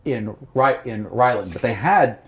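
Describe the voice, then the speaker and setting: A man's voice: mid-range voice, lively intonation, unhurried. A man narrating an improvised tabletop game as game master in a casual, conversational way.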